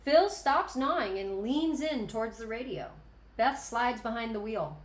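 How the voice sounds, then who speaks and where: A woman's voice: fairly high pitch, animated, a little slow. A woman reading stage directions as the narrator of a screenplay table read. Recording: echoey room, background noise.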